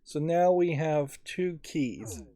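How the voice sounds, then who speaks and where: A man's voice: fairly high pitch, sing-song, takes it slowly, dark tone. A man giving casual let's play commentary on a video game in a musing, analytical way. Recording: faint game music behind.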